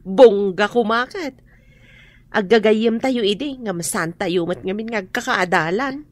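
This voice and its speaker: A woman's voice: mid-range voice, unhurried, close to an everyday voice. A woman with a Filipino accent playing a sociable, inquisitive friend in a radio drama. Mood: curious, chummy.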